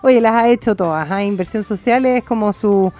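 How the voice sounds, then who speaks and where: A woman's voice: mid-range voice, normal speed, warm tone. A woman with a Chilean accent hosting a live radio panel in a conversational style. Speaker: radio host.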